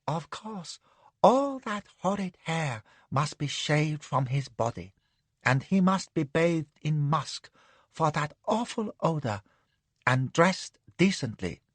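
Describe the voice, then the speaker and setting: A man voicing a drowsy, capricious young imperial concubine who purrs her words slowly. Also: on the high side, very animated, no echo, heavily acted. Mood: languid.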